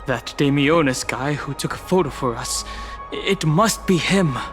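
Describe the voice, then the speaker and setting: A man's voice: a little high, animated, measured pace, music in the background. An actor playing an earnest, concerned young male adventurer in a video game. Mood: worried.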